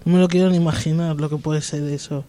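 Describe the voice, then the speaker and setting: High-voiced reflective man, mellow tone. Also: steady tone, fast. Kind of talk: discussion about psychiatry.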